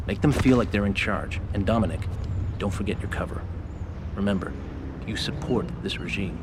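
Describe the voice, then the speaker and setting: A man acting a persuasive American agent in a game cutscene. His low voice is measured and conspiratorial, calmly selling a scheme. Clear background noise sits behind him. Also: close to an everyday voice. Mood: businesslike, instructing. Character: scheming American government agent recruiting an ally, calm and persuasive.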